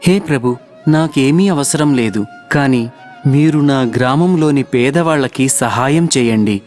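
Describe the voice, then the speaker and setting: A man's voice: medium pitch, unhurried, darkish tone, natural voice. A man playing an earnest, selfless, devout villager in a children's cartoon story, with music under him.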